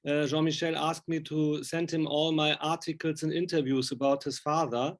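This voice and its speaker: A man's voice: on the high side, flat delivery, normal speed. A man speaking non-native English, interviewing informally with rambling, enthusiastic fan talk. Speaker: interviewer, film and music journalist.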